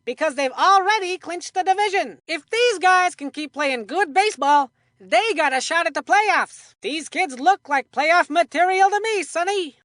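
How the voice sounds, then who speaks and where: A folksy kid color commentator for a cartoon baseball video game. The voice is extremely high and bright, with emphatic, opinionated delivery. It is recorded very dry and close. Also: female voice, no room sound, full character voice. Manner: folksy, emphatic, addresses a broadcast partner.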